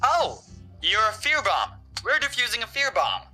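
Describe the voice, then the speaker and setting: Man acting as cheeky excitable researcher, sharp forceful tone, rather high, theatrical, audio drama. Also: normal speed, faint music behind, exaggerated voice. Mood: excited realisation. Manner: exclamatory, cheeky.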